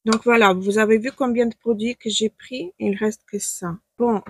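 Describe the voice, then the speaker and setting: A woman's voice: fairly high pitch, fairly even intonation, normal speed, breathy edge, no room sound. A woman from Ukraine with a Ukrainian accent, speaking French as a second language, chatting hesitantly through a makeup tutorial and searching for words. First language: not French. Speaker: beauty stylist and makeup vlogger. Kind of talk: casual makeup tutorial talk to camera. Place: at home, in front of her makeup.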